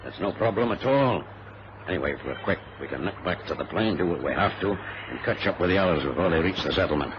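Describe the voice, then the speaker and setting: An actor playing a sensible, loyal adventurer and narrator in an old radio drama, speaking in a measured, old-fashioned way. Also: male voice, low-pitched, animated. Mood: resolute. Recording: muffled, noisy vintage radio recording.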